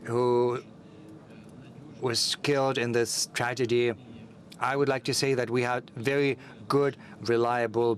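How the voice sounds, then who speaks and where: A man's voice: medium pitch, a little hiss or hum. Man interpreting with frequent fillers. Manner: steady, many uh fillers. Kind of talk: simultaneous interpretation.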